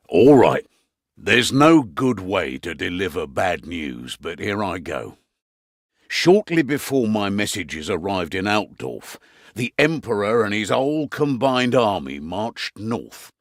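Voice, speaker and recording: An actor playing a gruff, weary middle-aged British innkeeper turned spymaster, briefing in a wry, conversational way for a video game. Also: male voice, medium pitch, a bit bright, dry recording. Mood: weary resolve. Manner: wry, conversational briefing with dry asides and trailing afterthoughts.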